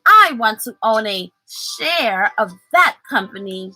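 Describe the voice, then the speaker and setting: A woman voicing an eager, curious young boy in a children's storybook, with wide-eyed, drawn-out delivery. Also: on the high side, very animated, pushed and bright, soft background music, full character voice, low-quality recording. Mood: excited.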